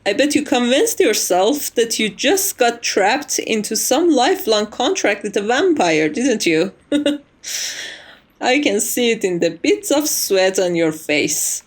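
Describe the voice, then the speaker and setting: A woman's voice: fairly high pitch, very animated, slow pace, heavily acted. A woman playing a composed, courteous vampire's maid in an ASMR roleplay, formal and reassuring with a wry tease. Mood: teasing.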